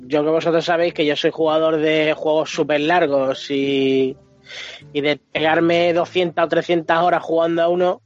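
A man with an accent from Spain chatting casually as a podcast panelist, colloquial and emphatic, repeating himself to make his point. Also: on the high side. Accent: Spanish from Spain. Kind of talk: casual opinionated chat about games. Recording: muffled podcast audio, music underneath.

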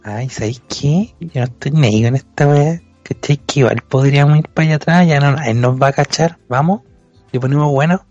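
A man's voice: on the high side, fairly quick, darkish tone, dry recording, lo-fi sound, soft background music, natural voice. A man voicing a coy, forward young churchgoing woman with a Chilean accent in an improvised comedy radio play. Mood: coy, casual.

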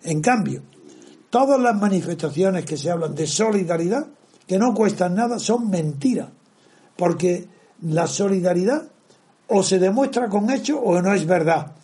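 An older man with a high yet warm voice holds forth on the radio. He lectures emphatically, hammering each point home. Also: animated, normal speed. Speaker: radio commentator. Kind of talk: opinionated radio talk.

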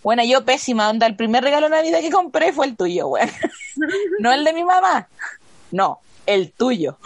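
A woman's voice: high voice, sing-song, normal speed, slight background noise. A young Chilean woman co-hosting a podcast, chatting casually and ranting expressively in heavy slang with a Chilean accent. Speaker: podcast co-host. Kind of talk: casual chat.

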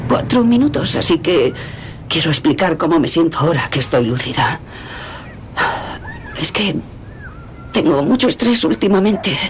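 An actress voicing a frazzled, candid mother in her forties with a Castilian accent, confessing haltingly and bluntly in a dubbed TV dramedy. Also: sits low. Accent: Castilian Spanish.

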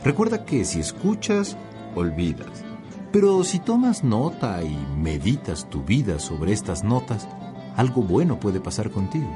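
Radio drama narrator guide, really swoopy delivery, clear music underneath, talks right to you. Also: male voice, on the low side, very animated, a little slow. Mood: inviting, solemn. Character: storyteller guide urging a pilgrim listener to take notes. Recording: music behind the voice.

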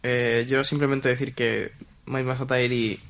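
A man's voice: mid-range voice, little pitch movement, a little slow, a bit bright. A young man with an ordinary mid-range voice, co-hosting a podcast. He talks in a wry, joking way and slips English phrases into his speech. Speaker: podcast co-host. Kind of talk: casual chat.

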